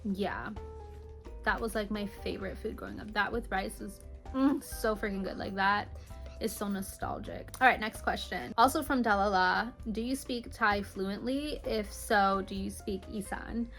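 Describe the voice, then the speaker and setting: A woman's voice: medium pitch, animated, moderate pace, fairly bright tone. Chatty young American woman, music behind. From Hawaii, United States. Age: mid-20s. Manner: informal, girly, peppered with slang. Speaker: vlogger answering viewer questions. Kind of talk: casual chatty Q&A to camera.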